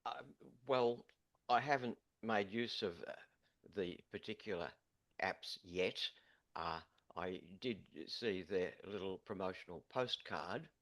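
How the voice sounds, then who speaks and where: A man, pausing often with "uh" as he hunts for his words. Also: low voice, fairly bright tone. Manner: hesitant, many uh pauses. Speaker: webinar participant, landcare group member. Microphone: video call.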